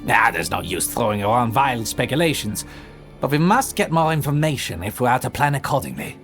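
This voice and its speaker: A man's voice: mid-range voice, sing-song, fairly quick, a bit bright, faint music behind. A man voicing a proud, menacing male vampire who speaks in a formal, theatrical way. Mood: measured. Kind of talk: audio drama roleplay.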